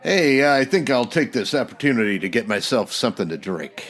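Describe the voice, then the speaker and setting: A man's voice: mid-range voice, big pitch swings, brisk, a bit bright. A man playing a wry, world-weary private detective in an old-time radio parody, with clipped, sardonic tough-guy delivery. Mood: awkward, dry. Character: hardboiled male private eye, wry, brusque, world-weary.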